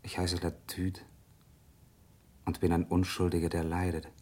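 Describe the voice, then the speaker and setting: An actor playing a stern, fearful, respectable Parisian husband, admonishing theatrically in a classic German radio play. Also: male voice, low-pitched, completely flat delivery, unhurried, warm tone. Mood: reading, wary.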